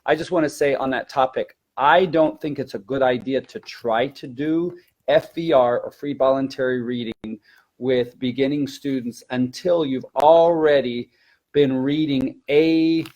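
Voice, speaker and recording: A man's voice: medium pitch, a little mellow. A man speaking as a language teacher in an informal instructional webinar, explaining and repeating words for emphasis.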